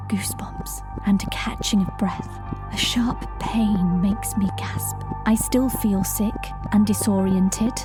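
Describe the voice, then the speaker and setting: An actress playing a haunted folk singer who shapeshifts, narrating her story in a measured, lyrical, reflective way in an audio drama. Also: medium pitch, close to an everyday voice. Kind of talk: audio drama monologue, first-person narration.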